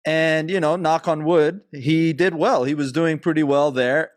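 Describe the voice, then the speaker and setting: Laid-back host with a dry, close sound. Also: male voice, on the high side, fairly even intonation, unhurried, no room sound. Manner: laid-back, laughs easily, swears casually. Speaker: podcast host. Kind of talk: casual conversation.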